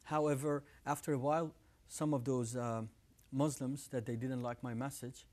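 A man's voice: medium pitch, fairly even intonation, moderate pace, darkish tone. A man in his thirties from Iran with an Iranian accent, earnestly telling his story as a guest in a TV studio on a lapel mic. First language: Farsi. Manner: earnest, gestures with hands while talking. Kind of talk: testimony in a studio interview. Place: TV studio set with living room decor.

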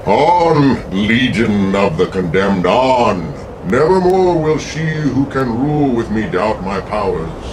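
A man's voice: on the low side, sing-song, takes it slowly, hardly any pauses, distant mic. A man voicing an imperious, menacing ghoul sorcerer in grandiose, commanding radio horror style. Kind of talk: old-time radio horror acting. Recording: noisy, off-mic recording.

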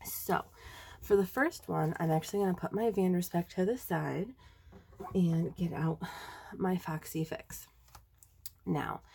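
A woman's voice: lowish voice, darkish tone, slightly rough. A young woman with a stuffy, nasal voice from a sinus infection, chatting casually through a planner tutorial at her desk. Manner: chatty, enthusiastic, many ums. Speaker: planner video creator. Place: indoors at a desk.

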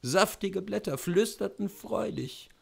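A man in his thirties reading a classic story aloud at a measured, unhurried pace in a room at home. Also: high-pitched, lively intonation, creaky, breathy edge. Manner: slow, measured narration. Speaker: reader. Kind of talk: literary reading.

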